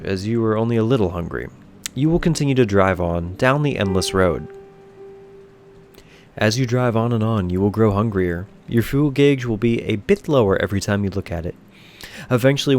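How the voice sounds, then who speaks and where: A man reading a scripted second-person bedtime story in a measured, solemn storytelling manner. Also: on the low side.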